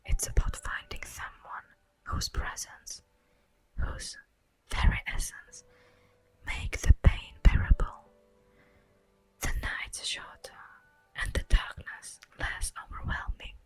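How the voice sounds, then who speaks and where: A woman voicing an ancient, tender and wistful elf in a breathy whispered ASMR fantasy roleplay, speaking solemnly and poetically with long pauses. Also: natural voice, rough recording. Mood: earnest, pleading. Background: music.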